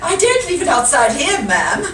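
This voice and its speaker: A woman's voice: sits high, huge pitch swings, moderate pace, a bit bright, exaggerated voice. A woman playing a loyal, snobbish chauffeur in an amateur radio comedy, deferential and knowing with her lady. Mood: deferential, wary. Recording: roomy, distant live recording, faint noise.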